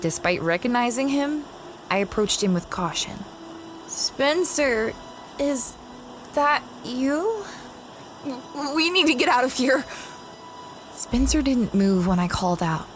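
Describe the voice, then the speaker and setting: A woman's voice: sits high, lively intonation, slow pace, pauses often, slight background noise. A woman playing a space explorer who recounts a strange expedition in a conversational, first-person audio drama style. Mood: anxious calling. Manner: reflective, conversational storytelling.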